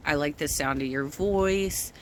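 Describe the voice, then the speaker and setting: A middle-aged woman with some bass to her voice, speaking slowly in a rambling casual rant full of ums. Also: slight background noise. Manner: slow-spoken, rambling with many ums.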